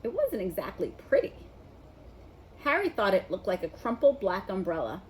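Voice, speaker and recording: A woman narrating a children's novel in an expressive read-aloud style. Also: medium pitch, normal speed, a little hiss or hum, rough recording. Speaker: storybook reader.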